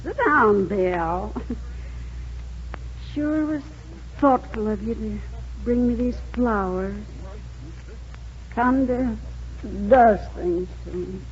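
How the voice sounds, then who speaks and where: An American actress playing a folksy, coy and devoted sweetheart in a radio comedy, with plain country speech. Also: female voice, on the high side, drawn-out words, pauses often. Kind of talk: 1940s radio comedy acting. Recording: muffled old radio broadcast recording.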